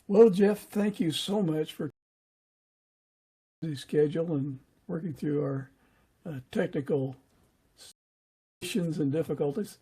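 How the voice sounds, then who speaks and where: A man hosting an interview podcast, speaking in an earnest, unhurried way with a husky, gravelly voice. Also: fairly high pitch, mellow.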